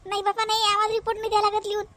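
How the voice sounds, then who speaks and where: Squeaky high cartoon village woman acted, varhadi accent, quarrelsome n repetitive, super quick, noisy background. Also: monotone. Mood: pleading. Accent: Varhadi Marathi, Vidarbha. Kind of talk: comedy cartoon dialogue in rural dialect. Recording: noticeable background noise.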